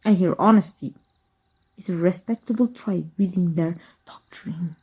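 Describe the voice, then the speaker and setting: A woman from Mexico voicing a scheming former magic teacher in an ASMR roleplay, speaking softly in a teasing half-whisper. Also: on the low side, normal speed, breathy edge. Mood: sly teasing. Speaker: voice actor.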